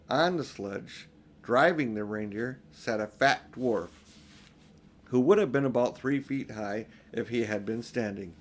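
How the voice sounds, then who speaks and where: An older man narrating a children's storybook as an audiobook reader. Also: medium pitch. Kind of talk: storybook narration read aloud.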